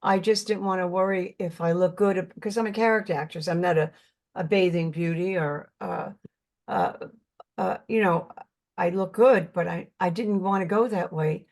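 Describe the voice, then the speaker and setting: A woman's voice: lowish voice, fairly even intonation, normal speed, darkish tone. An older actress with a New York accent, talking earnestly in an interview and often repeating words as she searches for them. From Brooklyn, New York. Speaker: actress guest. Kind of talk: casual interview chat.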